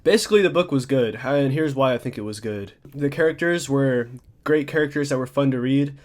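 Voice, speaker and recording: A man's voice: mid-range voice, fairly quick, a little mellow. A young man giving a casual, off-the-cuff book review, a bit congested, rambling with lots of fillers and restarts. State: congested, slightly stuffy.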